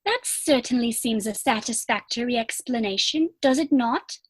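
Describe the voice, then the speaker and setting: A woman's voice: on the high side, normal speed, slightly rough, no echo. A woman playing a sweet, romantic young girl of good family in a period stage comedy, with a prim, eager manner. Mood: earnest.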